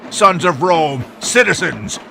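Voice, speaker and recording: An actor playing a proud Roman army recruiter, proclaiming to citizens like a rousing orator. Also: male voice, a little high, lively intonation, slow, gravelly, noisy background, exaggerated voice. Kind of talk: dramatised audiobook acting.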